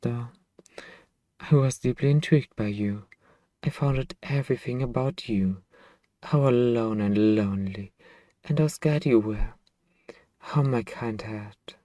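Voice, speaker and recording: A man playing a centuries-old vampire master and possessive, tender boyfriend in an intimate ASMR roleplay, speaking softly and wistfully with lingering phrasing. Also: medium pitch, no echo, natural voice. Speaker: ASMR voice actor.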